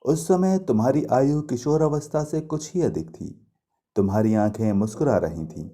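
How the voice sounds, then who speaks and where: A man's voice: mid-range voice, expressive, moderate pace, mellow, lightly acted. A man voicing a lovelorn, reproachful young woman in a mythological story, speaking in a poetic, pleading, emphatic way. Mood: tender, pleading.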